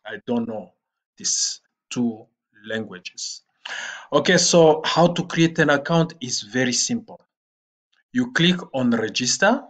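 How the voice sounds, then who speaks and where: Slow, halting man giving a screen tutorial. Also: medium pitch, expressive. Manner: slow and halting, with frequent "uh" fillers. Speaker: online platform trainer. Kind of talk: screen-recorded tutorial walkthrough.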